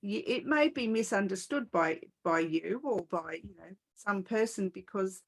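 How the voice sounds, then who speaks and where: A woman's voice: mid-range voice, lively intonation, a little slow. A woman hosting an interview in a conversational way, phrasing her thoughts tentatively as she works toward the point. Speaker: podcast host, interviewer.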